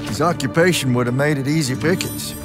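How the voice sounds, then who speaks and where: A man's voice: mid-range voice, fairly quick, lightly acted. A man playing a cranky, folksy older recluse in a video game, grumbling with a drawling manner. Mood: grave. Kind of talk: video game cutscene acting. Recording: very loud music over the voice.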